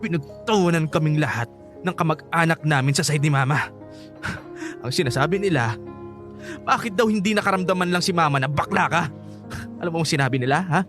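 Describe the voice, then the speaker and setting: A man's voice: a little high, fairly quick, fairly bright tone, faint music behind, natural voice. Bitter, hot-headed young Filipino son played by a man. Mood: bitter, taunting. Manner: confrontational, sarcastic, taunting. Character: bitter, hot-headed grown son scorning his father. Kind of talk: Filipino radio drama acting.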